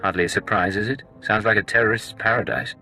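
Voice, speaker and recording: A man's voice: on the low side, fairly even intonation, fast talker, bright, forceful tone, faint music behind, low-quality recording. A man playing a curt, sardonic secret agent in a video game, with a dry, impatient manner. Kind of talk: scripted game dialogue.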